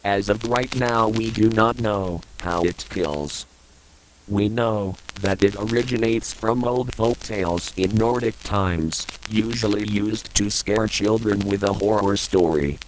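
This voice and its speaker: A man playing a solemn government emergency broadcast announcer in an analog horror piece, formal and measured. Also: lowish voice, a little hiss or hum, lightly acted, low-quality recording. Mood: grave, official.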